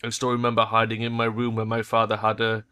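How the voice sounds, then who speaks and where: A man voicing a cold, guarded cyborg woman in a cyberpunk game, in a cool, detached monotone. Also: lowish voice, quick pace, clear, resonant tone. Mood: cool, painful recall.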